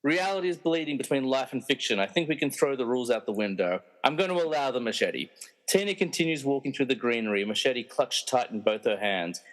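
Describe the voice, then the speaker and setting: A man's voice: mid-range voice, lively intonation, a little fast. Reverberant male narrator of a radio play. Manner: dramatic storyteller, wry asides.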